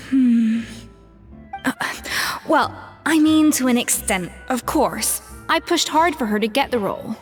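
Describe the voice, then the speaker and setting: Veteran chef, slightly high, calm and reflective, long reverb tail, soft music under. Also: female voice. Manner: reflective, measured storytelling with sighs. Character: seasoned woman head chef, thoughtful and precise. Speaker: chef character. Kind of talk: video game voice acting. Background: soft game music.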